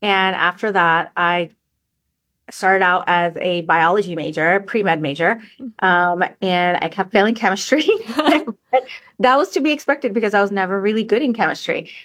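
A woman's voice: medium pitch, normal speed, fairly bright tone. A woman in her forties, from Bangladesh and New York, answering casually as an interview guest. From born in Bangladesh, New Yorker. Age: 40s.

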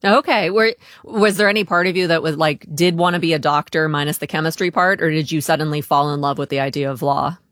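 Woman hosting a radio talk show, slightly low voice, dry studio. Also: quick pace, few pauses, a bit bright, no echo.

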